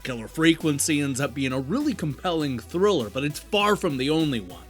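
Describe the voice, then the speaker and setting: Animated man reviewing games over music. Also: fairly high pitch, fairly quick. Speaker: video game reviewer and show host. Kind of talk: scripted review narration. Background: background music.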